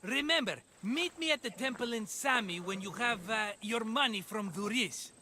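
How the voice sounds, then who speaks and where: A man's voice: high-pitched, moderate pace, sharp tone, a little hiss or hum. An actor playing a chatty, scheming middle-aged man who talks in a fussy, coaxing way. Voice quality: fussy, wheedling, hesitant.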